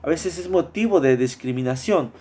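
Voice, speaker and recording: A man's voice: fairly high pitch, animated, normal speed, darkish tone, husky. A man from Mexico with a Mexican Spanish accent, presenting a class project in a hesitant way, with many fillers and restarts.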